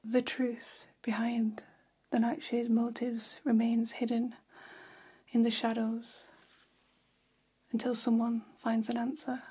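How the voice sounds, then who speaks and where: Lady doing a gossipy vampire salon stylist for asmr, super breathy whisper, mellow and unhurried, flatters you. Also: fairly high pitch, heavily acted. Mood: gossipy, intrigued. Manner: slow, hushed, conspiratorial, indulgent. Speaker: ASMR role-play performer. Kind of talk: whispered ASMR role-play. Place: small decorated indoor room.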